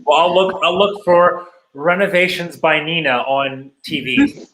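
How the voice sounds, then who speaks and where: Guy hosting a chat show, talks real slow, hesitant, kinda high voice. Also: bright, forceful tone, a little hiss or hum, poor audio. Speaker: host. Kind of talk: casual chat.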